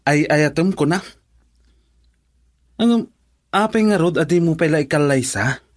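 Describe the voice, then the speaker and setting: Slow-talking, higher-voiced blunt buddy. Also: male voice, expressive. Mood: frank, nudging. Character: frank male buddy giving blunt advice. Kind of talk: Ilocano radio drama acting.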